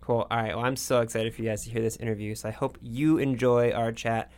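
Regular guy hosting a podcast, easygoing and excited, quick little asides. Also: medium pitch, smooth. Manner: upbeat, enthusiastic, quick asides. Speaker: podcast host. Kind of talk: casual podcast chat.

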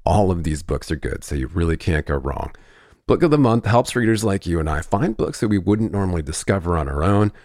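Low-pitched male podcast host, lively intonation. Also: gravelly, no room sound, clean studio sound. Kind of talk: scripted podcast intro and ad reads, interview chat.

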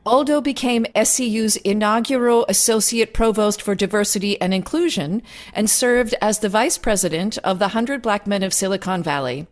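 A woman's voice: medium pitch, moderate pace. A woman, hosting an interview podcast and introducing her guest. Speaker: podcast host and producer.

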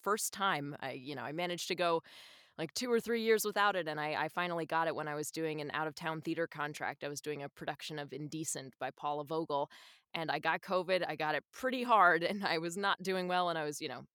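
A woman's voice: low voice, brisk, fairly bright tone, dry recording, studio quality. A woman speaking as an interview guest in a casual, chatty, effusive way. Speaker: audiobook narrator, interview guest.

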